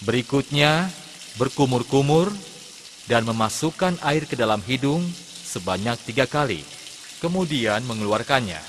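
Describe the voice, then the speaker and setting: A man, an Islamic religious teacher, giving measured step-by-step instruction in a scripted lesson narration for children. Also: medium pitch, expressive, a bit bright. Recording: long hall reverb, clear background noise.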